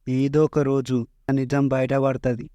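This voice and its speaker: A man's voice: mid-range voice, completely flat delivery, normal speed, warm tone, no room sound, highs cut off, close to an everyday voice. Parody cartoon villain: male actor as old royal schemer, suspicious, needling, dry studio sound. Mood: bitter, suspicious. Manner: sly, accusing, goading. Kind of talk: Telugu parody cartoon dubbing.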